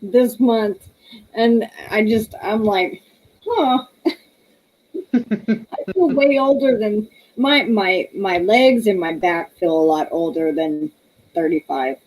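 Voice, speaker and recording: A woman's voice: mid-range voice, slow pace, long pauses, darkish tone, rough recording. A woman, hosting a casual livestream, chatty and laughing often as she reads viewer comments aloud. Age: 30s. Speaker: livestream host.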